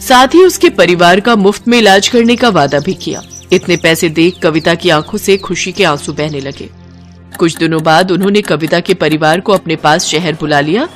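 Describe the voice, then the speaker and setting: A man narrating a cartoon moral story in an expressive, melodramatic storytelling manner. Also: high voice.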